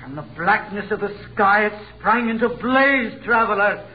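An actor playing a fearful, wondering young shepherd in a 1930s radio drama, with archaic biblical speech. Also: male voice, high voice, moderate pace, natural voice. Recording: muffled 1930s radio recording.

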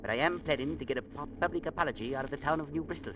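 An actor playing a gruff, stubborn sea captain in vintage radio drama, with blunt, salty, folksy speech and deliberate emphasis. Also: male voice, a little high, a bit bright. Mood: stubborn, resolute. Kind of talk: 1940s-50s radio drama acting. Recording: noisy, muffled old radio broadcast.

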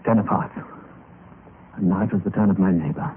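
Male actor as grave gentleman storyteller, low voice, measured and dramatic, old radio mystery, muffled noisy recording. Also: fairly even intonation, natural voice. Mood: grave, suspenseful. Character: grave gentleman narrating a grim adventure. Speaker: narrator and lead character. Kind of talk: 1950s radio mystery narration.

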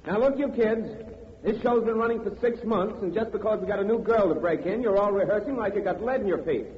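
An actor in an old radio drama playing an exasperated show director, barking slangy orders at a chorus. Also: male voice, high voice, brisk, lo-fi sound, background noise, natural voice. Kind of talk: 1950s radio drama acting. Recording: echoey hall, loud music, old radio.

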